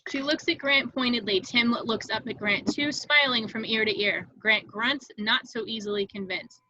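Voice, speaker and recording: A woman's voice: on the high side, moderate pace, dull audio, background noise. A woman reading screenplay stage directions as the narrator of a table read.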